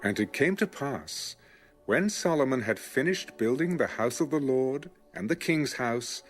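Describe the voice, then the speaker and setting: A man narrating scripture for an audio Bible in a measured, formal, scripted style. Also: medium pitch, lively intonation. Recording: music under the voice. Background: music.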